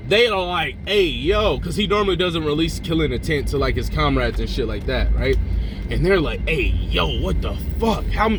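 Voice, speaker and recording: A man's voice: high-pitched, noisy background. A young man telling a made-up fan story in casual, profane slang, rambling with lots of "right?" and "like".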